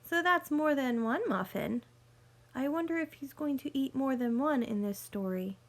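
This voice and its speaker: A woman reading a picture book aloud like a teacher, speaking deliberately to young listeners and explaining as she goes. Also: high-pitched, animated. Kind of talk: read-aloud storytelling with teaching asides.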